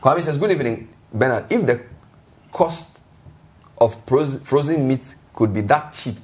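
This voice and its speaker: Man, TV talk host, husky voice, brisk, reads viewer texts aloud. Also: mid-range voice, animated, a little mellow. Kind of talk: reading viewer messages, moderating panel.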